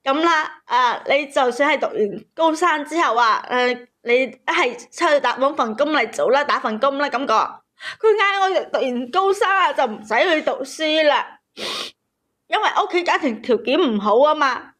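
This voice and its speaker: High voiced woman phoning in w her personal story, plaintive n kinda aggrieved. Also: expressive, moderate pace, fairly bright tone. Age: 30s. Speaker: caller.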